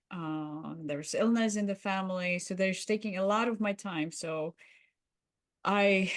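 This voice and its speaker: A woman's voice: medium pitch, natural voice. A woman playing a busy female boss in a workplace roleplay, hesitant and evasive as she deflects an employee. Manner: hesitant, evasive, breezily dismissive.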